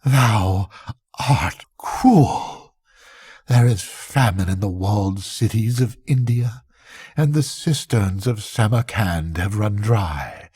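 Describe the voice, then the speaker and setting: An older man voicing Avarice, a miserly, possessive, defiant female spirit, in slow, emphatic archaic speech for a bedtime story. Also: lowish voice, lively intonation, a little mellow, slightly rough, no echo, clean studio sound, heavily acted. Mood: pleading, reproachful.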